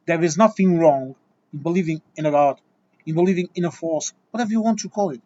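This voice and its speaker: Portuguese man, fairly high voice, lecturing and exasperated, dull lo-fi recording. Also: fast talker, darkish tone. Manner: exasperated, lecturing, many restarts and repeated words. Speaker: video essayist. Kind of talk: impassioned opinion monologue.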